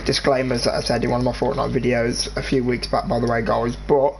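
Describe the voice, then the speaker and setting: A man's voice: medium pitch, level tone, vocal fry, darkish tone. Rambling English teenage boy, noisy mix. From England. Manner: rambling, halting, restarts sentences. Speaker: gaming channel host. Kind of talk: casual chat over gameplay.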